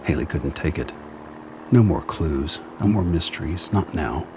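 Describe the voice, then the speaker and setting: Deep-voiced male drama narrator. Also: animated, measured pace, background noise. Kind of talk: scripted dramatic narration of a radio play.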